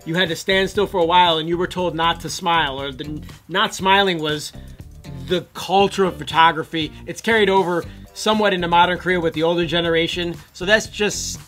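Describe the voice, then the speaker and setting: A man's voice: on the high side, fairly even intonation, fairly quick, fairly bright tone, soft background music. A man co-hosting a casual commentary show, musing aloud and offering his opinions on photos.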